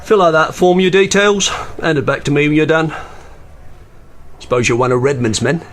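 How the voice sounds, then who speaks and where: A man acting a brisk, condescending British Army recruiting officer in a radio play. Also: on the high side, close to an everyday voice. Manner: brisk, patronising. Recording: faint noise and room ambience.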